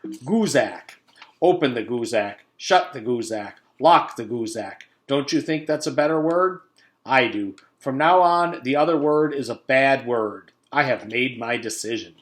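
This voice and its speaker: Man putting on a loud, blustering school principal. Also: medium pitch, animated, a little slow, lightly acted. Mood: loud, declaring. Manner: emphatic, declamatory.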